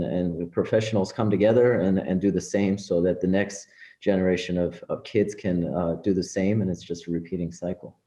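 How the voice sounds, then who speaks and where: A middle-aged man, a native Farsi speaker, with a low and very mellow voice. He talks casually and earnestly as an interview guest. The recording has a clearly roomy echo. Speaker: interview guest, business professional and community organizer. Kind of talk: casual podcast interview, personal anecdotes.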